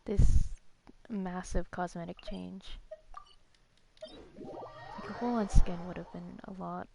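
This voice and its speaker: A woman's voice: lowish voice, steady tone, slow pace, creaky, background noise. A woman livestreamer chatting casually as she reacts to a video game.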